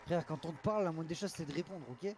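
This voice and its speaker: A man's voice: fairly high pitch, quick pace, a loud crowd. A young man talking in casual, slangy, confrontational chat while playing an online roleplay game.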